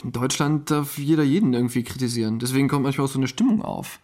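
A man's voice: medium pitch, fast, a little mellow, natural voice. An actor playing an easygoing, wry German husband and father-to-be in a naturalistic, conversational radio play. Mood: puzzled, wry.

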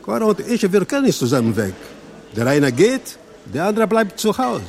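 An actor playing a boisterous, jovial, pleasure-loving husband in a radio drama, with a teasing, expansive manner. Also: male voice, sits high, very animated, normal speed, gravelly, full character voice. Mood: jovial, coaxing.

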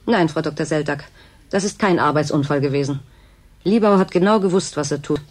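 An actress playing a blunt, disillusioned worker at a remote station in a German radio drama, curt and sardonic. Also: female voice, sits low, fairly quick. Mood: defensive.

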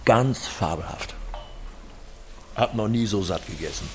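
Brash scheming businessman, excitable, salesy, lively intonation, radio drama, faint background noise. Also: lowish voice, measured pace. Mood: excited, scheming. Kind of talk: German radio drama acting.

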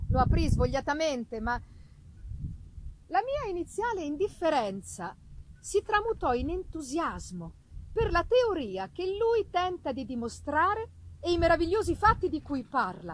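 High-pitched woman giving a theatrical reading. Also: slight background noise, low-quality recording. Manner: theatrical, expressive, with deliberate pauses. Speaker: reader performing a literary text. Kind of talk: dramatic live reading of a gothic novel.

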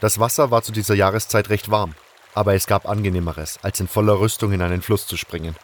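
Low-voiced man narrating fantasy. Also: fairly even intonation, fast talker, slight background noise. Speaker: narrator of a fan audio drama. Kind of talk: scripted first-person fantasy narration.

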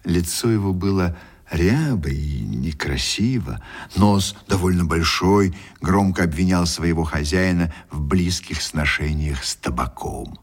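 A man narrating a literary radio play theatrically, with deliberate pauses and wry rhetorical questions. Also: deep voice, slightly rough. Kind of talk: dramatic literary storytelling for radio.

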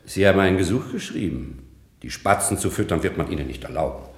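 An actor playing a patronizing, authoritarian prison director in a German radio play, with an officious, emphatic manner. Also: male voice, deep voice, animated, brisk. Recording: echoey 1960s radio play studio.

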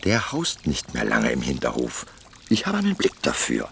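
A deep-voiced businessman in a radio drama. He is deferential yet wheedling, and his pitch swings in an animated way. Faint watery noise runs behind him. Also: moderate pace, vocal fry, heavily acted. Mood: insinuating. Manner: polite, insinuating, persuasive. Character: deferential, wheedling Mexican businessman pressing a politician. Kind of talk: radio play dialogue acting.

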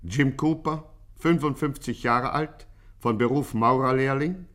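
An actor playing an impassive male announcer reading a dossier in a 1950s radio play, clipped and deadpan. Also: mid-range voice, measured pace, lightly acted. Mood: brisk, official.

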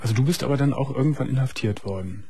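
A man's voice: mid-range voice, fast, mellow, slight background noise. A man hosting a call-in radio show, asking attentive, probing questions and encouraging the caller to go on. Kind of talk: late-night call-in radio talk.

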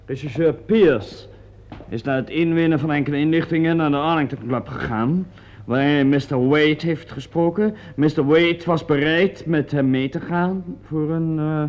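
An actor playing a dutiful police assistant in a radio play, formal and deferential. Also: male voice, on the high side, moderate pace, darkish tone, lightly acted. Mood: businesslike. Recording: dull, faintly noisy old radio recording.

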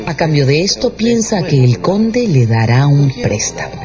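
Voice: mid-range voice, big pitch swings, unhurried. Radio novel narrator, dramatic scripted storytelling, bit muffled, music behind.

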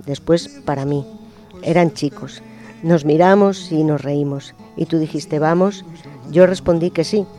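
Man, radio reader, higher-pitched mellow voice, intimate reflective letter reading, faint guitar behind. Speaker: radio presenter. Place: radio studio. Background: soft guitar music.

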